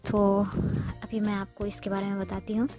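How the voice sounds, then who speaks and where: A woman's voice: medium pitch, flat delivery, moderate pace. A woman presenting an informal step-by-step app tutorial, explaining and repeating her instructions as she goes. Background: music.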